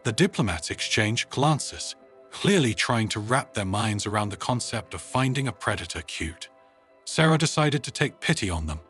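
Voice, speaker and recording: A man narrating a humorous science fiction story in an expressive, scripted storytelling style. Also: lowish voice, normal speed, faint music behind.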